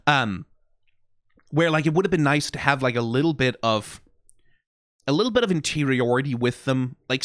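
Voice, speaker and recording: A man's voice: mid-range voice, a bit bright, dry recording, studio quality. A man hosting a reaction video, giving rambling, analytical criticism full of "like" and "right?" with a wry, profane edge. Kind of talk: casual critical commentary.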